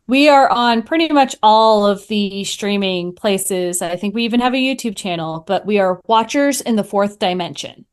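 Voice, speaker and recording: Woman chatting casually, medium-pitched voice. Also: expressive, normal speed, hardly any pauses, clear, resonant tone. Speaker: podcast guest and podcaster. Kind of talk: casual review chat.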